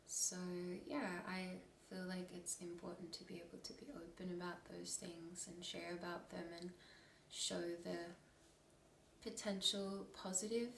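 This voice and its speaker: A woman in her twenties with an Australian accent, talking in a hushed, half-whispered, hesitant way as an ASMR creator. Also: lowish voice, creaky, roomy sound, distant mic, slight background noise, low-quality recording. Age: 20s. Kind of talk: soft-spoken ASMR chat.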